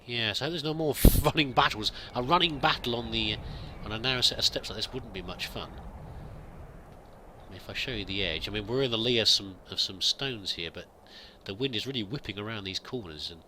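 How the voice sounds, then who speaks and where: A man's voice: on the low side, a little fast, pushed and bright, slight background noise. A man thinking aloud while roleplaying a pilgrim in a video game, musing and hesitant with many restarts. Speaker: let's play gamer roleplaying a pilgrim. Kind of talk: in-character gameplay commentary.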